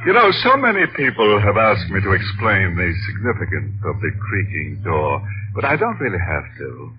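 A man's voice: low voice, wildly swooping pitch, normal speed. A man playing a gleeful, ghoulish host of a 1940s radio horror show, theatrical and darkly mocking. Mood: sinister welcome. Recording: dull old radio recording, music behind.

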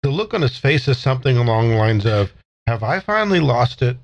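A man with a mid-pitched voice plays a tabletop game. He describes his character casually, tentatively restarting his phrases as he goes. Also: very animated, a little fast, highs cut off.

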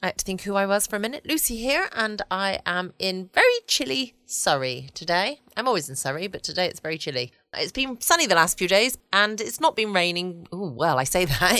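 A woman's voice: medium pitch, big pitch swings, brisk, few pauses, bright, forceful tone, no echo. A middle-aged woman hosting a casual, rambling bedtime podcast. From United Kingdom. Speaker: podcast host. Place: home studio, dry close sound.

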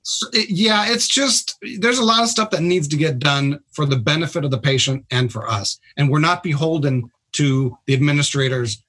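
A man's voice: on the high side, moderate pace, few pauses, fairly bright tone. A man who is a hospital doctor, explaining things in a plain-spoken, informal way as a guest on a radio talk show. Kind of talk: casual explanatory radio interview.

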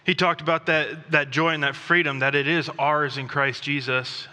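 A man who grew up in Thailand, preaching a sermon in a conversational, self-deprecating way with pauses and restarts. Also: on the high side, level tone, sharp tone. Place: church sanctuary. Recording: long reverb of a church hall.

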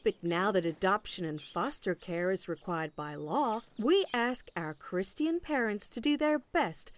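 A woman's voice: mid-range voice, animated, a little slow, natural voice. A woman acting in an audio play as an officious agent, politely relentless and salesy, with veiled sarcasm. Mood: coolly pointed.

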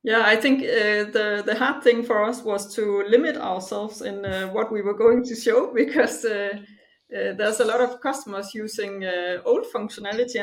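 A woman's voice: mid-range voice, creaky. A middle-aged woman from Denmark, a finance specialist, talking in a casual interview with many fillers.

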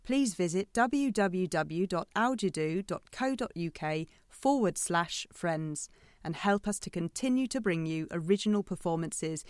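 A woman's voice: fairly low pitch, lively intonation, unhurried, no echo, clean studio sound. A woman giving a scripted narration in the manner of closing credits.

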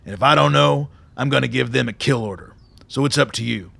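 An actor playing a hard-bitten, blunt, profane army colonel in a radio drama, speaking with gruff authority. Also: male voice, mid-range voice, brisk, bright, forceful tone, dry recording, heavily acted. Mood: angry, forceful.

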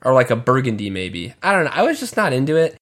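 A man co-hosting a chatty podcast, with an average pitch and a blunt, gossipy way of talking. He gives his opinions freely and with some flair. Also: big pitch swings, fast. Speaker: podcast co-host. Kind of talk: casual chat.

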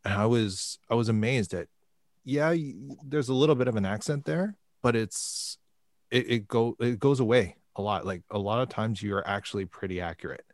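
A man's voice: on the low side, normal speed, no echo, studio quality. A man from Canada interviewing a guest, encouraging her and asking follow-up questions. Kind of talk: casual conversational interview.

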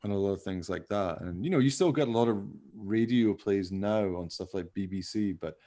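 Low-pitched gravelly man hosting a podcast. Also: normal speed, mellow. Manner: rambling, jokey, informal. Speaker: podcast host. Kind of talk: casual chat.

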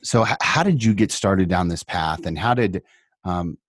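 A man hosting a podcast interview, asking eager, friendly questions with hesitant pauses. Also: low-pitched, expressive, no room sound, clean studio sound. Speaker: podcast host.

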